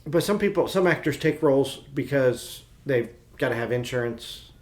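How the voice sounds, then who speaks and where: A man's voice: mid-range voice, expressive, moderate pace. A man chatting casually as a podcast co-host. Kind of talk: casual chat.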